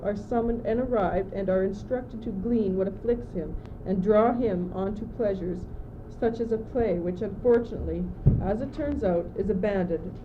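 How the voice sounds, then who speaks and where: A woman's voice: mid-range voice, natural voice. A teenage female student actor playing an anxious, naive young courtier in an amateur radio play, with an earnest, stagey delivery. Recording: dull old tape, loud background noise.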